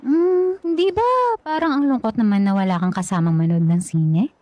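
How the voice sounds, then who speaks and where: A woman's voice: medium pitch, moderate pace, warm tone, no echo, natural voice. An actress playing a flustered young woman meeting her ex-boyfriend in a radio drama, hesitant and evasive. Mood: friendly. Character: young woman, flustered ex-girlfriend now engaged.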